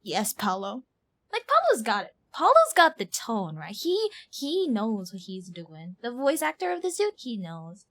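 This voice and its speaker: A woman streaming a game, giving casual live reaction commentary in an animated, exaggerating manner. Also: fairly high pitch, measured pace. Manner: animated, mimics lines with exaggeration.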